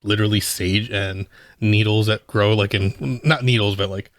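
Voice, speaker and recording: Low-voiced relaxed man. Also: normal speed, no room sound. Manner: relaxed, rambling, many fillers. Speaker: bartender guest. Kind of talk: casual chat.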